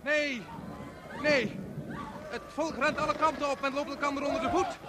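Very high-pitched frantic male commentator. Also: fairly even intonation, moderate pace. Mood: alarmed, urgent. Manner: urgent, exclamatory. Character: frantic, excitable male live broadcast commentator. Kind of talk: breathless event commentary in radio play. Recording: loud noise around an outdoor-sounding commentary.

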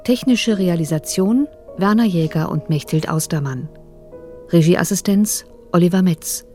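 A woman announcing the closing credits of a radio play in a slow, deliberate reading. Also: lowish voice, dark tone, faint music behind.

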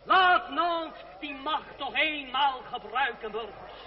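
An actor playing a fiery young French revolutionary, giving declamatory, impassioned speeches to townsfolk in a radio drama. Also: male voice, very high voice, expressive, slow, exaggerated voice. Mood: rousing. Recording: dull lo-fi radio recording, noisy crowd. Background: crowd noise.

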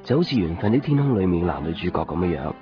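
A man's voice: sits low, very animated, fast talker. An actor playing a wistful young man with a Hong Kong Cantonese accent in reflective radio drama monologue. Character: wistful lovelorn young man recalling a film date. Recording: dull 1990s radio recording. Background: music.

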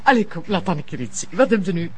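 Brief: a woman voicing a teasing Flemish visitor, animated, with crowd noise in the background. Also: on the low side, fast, natural voice. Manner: teasing, mocking. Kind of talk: radio play acting.

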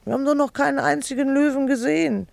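Scolding wife, indignant, self-pitying, theatrical radio drama, gravelly creak, dry close sound. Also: female voice, high voice, fairly even intonation, fast, darkish tone, no echo. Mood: weary, defiant. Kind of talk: theatrical German radio play acting.

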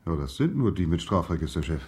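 Police sidekick: deep-voiced, husky man, down-to-earth and jaunty, for radio comedy. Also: very animated, fast talker, darkish tone, heavily acted. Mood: matter-of-fact. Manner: blunt, jaunty, colloquial. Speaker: actor. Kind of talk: German radio crime comedy acting.

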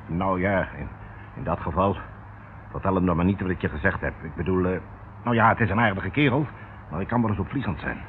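A man's voice: sits low, a little fast, natural voice. An actor in his fifties playing a coaxing, evasive ship captain in a radio drama. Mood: evasive, hesitant. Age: 50s. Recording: tinny band-limited old radio recording, noisy.

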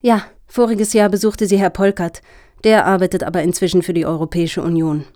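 An actress playing an earnest, officious lobbyist in a satirical radio play, talking in formal, deliberate, speech-like sentences. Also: female voice, on the low side, fairly even intonation, mellow, natural voice. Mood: explanatory. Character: earnest, officious female lobbyist pitching for funding.

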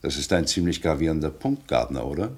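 An actor playing a sober, exacting detective who reasons formally and insistently in a radio crime drama. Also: male voice, very deep voice, big pitch swings, a little fast, a little mellow, husky, full character voice. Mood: grave, insistent. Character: sober male detective, professionally proud and exacting.

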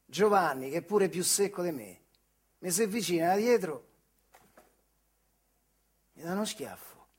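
A man's voice: high voice, lively intonation, unhurried, pauses often, husky, exaggerated voice. An actor playing a retired Italian factory worker with a central Italian accent from the Marche, telling his boyhood memories in an earthy, colloquial theatrical monologue. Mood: reminiscing. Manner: earthy, colloquial, acts out dialogue. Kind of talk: theatrical monologue storytelling in dialect-tinged speech.

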